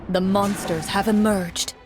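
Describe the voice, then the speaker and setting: An actress playing a game master in a video game, narrating a fantasy tabletop adventure in a scripted storytelling style. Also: female voice, mid-range voice, steady tone, measured pace, over music, natural voice.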